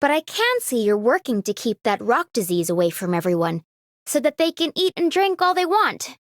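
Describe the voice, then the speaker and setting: A woman's voice: a little high, big pitch swings, moderate pace, no room sound, clean studio sound. A woman voicing a blunt, simple-hearted, plain-spoken female video game character. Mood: candid, content.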